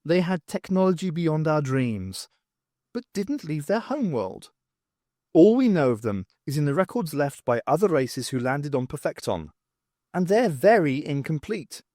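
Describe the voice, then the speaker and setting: Lively, higher-pitched man narrating fiction. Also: moderate pace, no echo, studio quality. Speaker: audiobook reader. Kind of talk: expressive first-person fiction narration.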